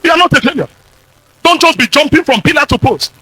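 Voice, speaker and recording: A Nigerian man with a husky voice and a Nigerian accent, preaching a fiery charismatic sermon as a pastor, exhorting with repeated commands and declarations. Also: extremely high pitch, lively intonation, fast, bright, forceful tone, poor audio.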